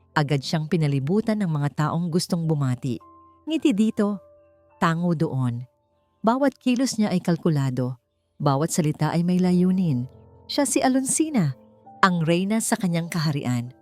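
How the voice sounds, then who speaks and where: A woman narrating an audiobook romance story, speaking in an expressive storytelling manner that climbs higher at dramatic moments. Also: moderate pace. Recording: faint background music.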